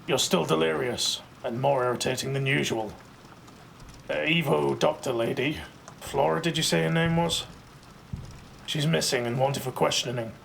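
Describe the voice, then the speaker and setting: Brash, protective tough-guy marshal, male actor: very gravelly, blunt, audio drama with faint ambience behind. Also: medium pitch, normal speed, a bit bright, slight background noise, low-quality recording. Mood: brusque. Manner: blunt, swearing, brusque. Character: brash, violent, foul-mouthed male marshal, gruffly protective.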